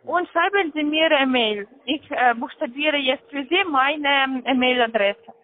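Hohe Frauenstimme am Telefon, ausländischer Akzent. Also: female voice, sits high, slight background noise. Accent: foreign. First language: not German. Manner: halting, insistent. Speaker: phone caller from a call centre. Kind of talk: scripted phone instructions, spelling out slowly. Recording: tinny, narrow-band phone line.

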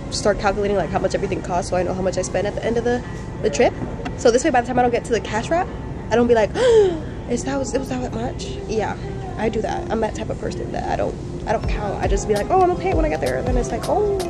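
A young woman in her twenties vlogging her meal prep, talking casually and slangily straight to a phone camera. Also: on the high side. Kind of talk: casual vlog chat. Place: grocery store, then home kitchen. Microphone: handheld phone camera at arm's length.

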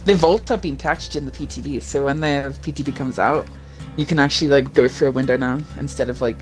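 A man streaming a game and chatting casually with a friend, excitable and quick to swear. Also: medium pitch, faint music behind, rough recording. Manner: animated, excitable, swears freely. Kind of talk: casual gaming chat.

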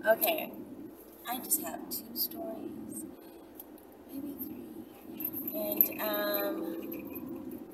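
A woman's voice: mid-range voice, fairly even intonation, extremely slow, long pauses. A woman in her thirties telling a story dramatically and off the cuff, as a vlogger talking in a car. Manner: dramatic, acting out quoted lines. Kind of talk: animated improvised storytelling. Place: inside a car, driving. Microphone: dashboard camera at arm's length. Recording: noisy car, mic at a distance.

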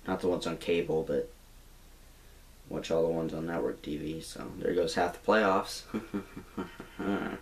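A man's voice: low-pitched, fairly even intonation, unhurried, pauses often. A young man plays a glum young jailbird in a mockumentary comedy, rambling with hesitant restarts, recorded by a camera in a small bedroom. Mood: resigned. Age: young adult.

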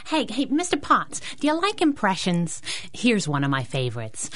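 An actress playing a feisty, wisecracking masked sidekick in a radio adventure, sassy and emphatic. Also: female voice, mid-range voice, huge pitch swings, normal speed. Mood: teasing. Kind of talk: 1930s-style radio adventure acting.

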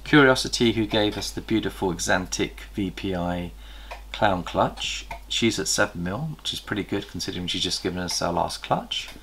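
Brief: middle-aged man, low gravelly voice, enthusiastic breeder chatting to camera, faint room noise. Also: lively intonation, a bit bright. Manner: chatty, enthusiastic, pauses to recall details. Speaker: snake breeder host. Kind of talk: casual show-and-tell vlog. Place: indoor reptile room lined with tubs. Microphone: camera across a table.

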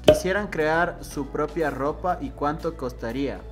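Un hombre de voz algo aguda y acento ecuatoriano lee con rapidez nombres y preguntas de seguidores. Detrás suena música de guitarra muy fuerte, casi al nivel de su voz. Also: male voice, fairly high pitch. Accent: Ecuadorian Spanish. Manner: reads viewer names and questions briskly. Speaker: question reader. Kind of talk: rapid-fire Q&A reading. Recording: loud background music.

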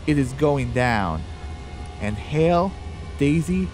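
Slow-paced male storyteller over rumbling noise. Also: expressive. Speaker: narrator. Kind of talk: scripted radio drama narration.

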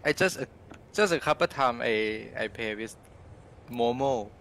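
A man's voice: mid-range voice, very animated, unhurried, vocal fry, fairly bright tone, a little hiss or hum. A man from Thailand playing a game with friends, giving short, easygoing replies. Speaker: teammate in a game. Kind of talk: casual gaming chat.

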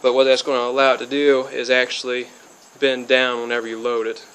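A man's voice: mid-range voice, a little fast, smooth. A young man in his twenties giving a casual, rambling how-to explanation outdoors, full of fillers and restarts, a little spacey from being sick. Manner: rambling, many fillers and restarts, flat delivery. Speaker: DIY hobbyist. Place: outdoors by a car, then under a metal-roofed shed.

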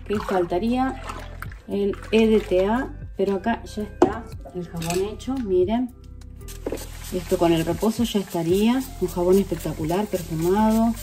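A woman's voice: medium pitch, normal speed. A woman, casually showing viewers how to make liquid soap in her home kitchen while she works. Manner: chatty, addresses viewers directly, working while talking. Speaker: vlogger. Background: background music.

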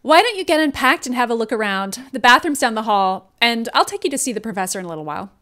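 Lively high-voiced woman. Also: fast talker. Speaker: table read participant. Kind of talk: casual joking chat and script reading. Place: home room, remote video call. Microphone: video call.

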